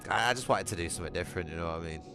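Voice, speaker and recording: Deep-voiced sweary Manchester streamer. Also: male voice, very fast, music in the background. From England. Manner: blunt, sweary, chatty. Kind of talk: casual livestream gaming chat.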